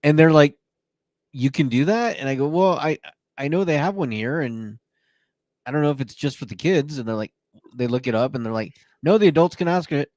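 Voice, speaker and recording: A man's voice: fairly high pitch, lively intonation, fairly quick, a little mellow, no echo. A man co-hosting a podcast, telling a long personal story in casual chat with plenty of fillers and restarts. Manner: talkative storyteller, many fillers. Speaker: podcast co-host.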